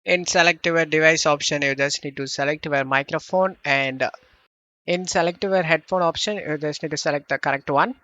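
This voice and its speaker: A man hosting a step-by-step software tutorial, speaking loosely phrased non-native English. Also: fairly high pitch, moderate pace, no echo. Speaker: tutorial host.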